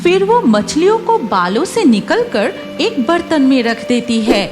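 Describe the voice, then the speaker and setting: A woman's voice: sits high, big pitch swings, unhurried, music in the background. A woman reading scripted cartoon story narration as the storyteller.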